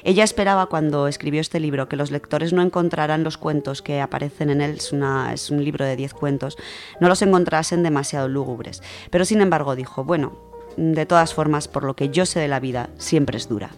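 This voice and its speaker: A woman's voice: low-pitched, steady tone, brisk. A woman with an accent from Spain, speaking enthusiastically as a radio contributor in a conversational book segment. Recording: music under the voice. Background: background music.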